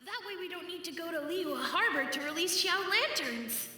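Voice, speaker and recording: A woman's voice: very high-pitched, expressive, normal speed, over music. A woman voicing a wistful, earnest young boy spirit in an anime video game, with long ghostly reverb. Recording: distant, heavily echoing game audio.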